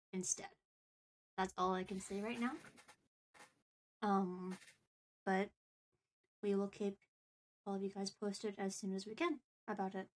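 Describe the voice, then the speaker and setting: A woman's voice: medium pitch, a little mellow. A woman co-hosting a livestream, chatting casually and picking her words carefully and hesitantly. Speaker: streamer and co-host answering viewer questions.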